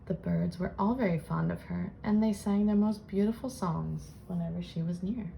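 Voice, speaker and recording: A woman reading a children's storybook aloud in an expressive storytelling style, as host. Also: lowish voice, moderate pace, creaky, slight background noise, rough recording. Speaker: children's book reader and channel host.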